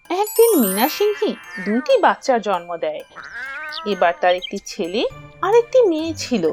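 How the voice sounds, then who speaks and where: A woman narrating a cartoon story in an expressive, storybook manner. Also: high-pitched.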